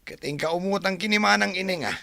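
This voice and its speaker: An actor with an Ilocano accent playing a surly, demanding drunkard husband with a gravelly voice in a radio drama. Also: male voice, sits high, fast, sharp tone, dry recording, studio quality, heavily acted. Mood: groggy, grumbling.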